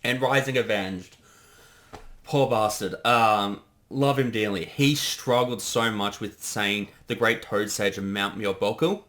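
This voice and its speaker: Casting: male commentator in his thirties, lowish and forceful, chatty, stop-start rambling. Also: unhurried. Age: 30s. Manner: hesitant, rambling, self-interrupting. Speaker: co-director giving creator commentary. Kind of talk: casual commentary chat.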